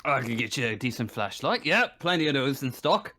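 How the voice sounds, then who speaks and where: A man's voice: medium pitch, very animated, brisk, bright, forceful tone. A man acting as game master, talking casually and improvising, often rephrasing himself as he goes.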